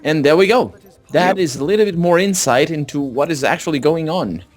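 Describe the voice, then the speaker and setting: A man's voice: a little high, brisk. A man chatting casually during a co-op game, thinking aloud and often questioning. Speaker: gaming video player. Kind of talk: casual co-op playthrough chat.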